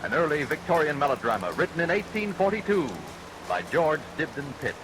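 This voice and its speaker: A man's voice: on the high side, animated, a little fast, noisy background. A man giving a formal, dramatic scripted announcement as a vintage radio drama announcer. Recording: old radio broadcast over music and rain.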